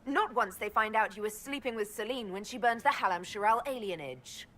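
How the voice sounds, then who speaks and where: An actress playing a resolute, commanding heroine in a fantasy game, firm and measured. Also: female voice, mid-range voice, fairly bright tone, natural voice. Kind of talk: fantasy video game acting.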